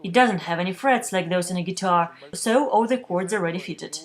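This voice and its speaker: A woman reading a translated news voice-over at a measured, steady pace, recorded in a booth. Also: lowish voice, music in the background. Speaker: voice-over interpreter for a news feature.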